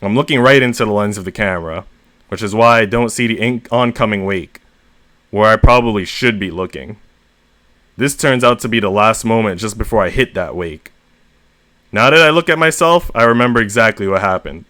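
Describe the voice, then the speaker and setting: A man with a fairly low voice reads short stories aloud in the first person. He leans into the emphasis like a storyteller hosting a read-along. The sound is dry and close to the mic. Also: sharp tone, no room sound. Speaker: story reader and host.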